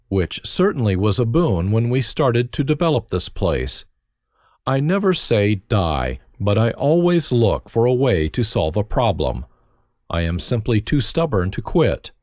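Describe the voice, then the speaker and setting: Man, low voice, lively pitch. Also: dry recording. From Germany. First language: German. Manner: dry, self-deprecating humor. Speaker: autobiography narrator. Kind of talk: reflective first-person memoir reading.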